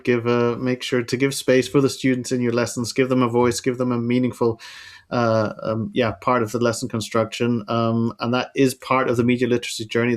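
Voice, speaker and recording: An upbeat man hosting an education podcast. He speaks fluently with a few fillers, thanks his guest and points listeners to resources. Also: mid-range voice. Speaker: podcast host. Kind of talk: interview hosting, wrap-up.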